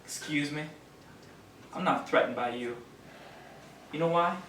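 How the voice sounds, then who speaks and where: A sharp, forceful actor's take on a bullying show veteran, sneering and confrontational. His fairly high male voice carries from far off in an echoey stage recording. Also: unhurried. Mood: cold menace. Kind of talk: stage play acting. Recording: distant, echoey stage recording, faint noise.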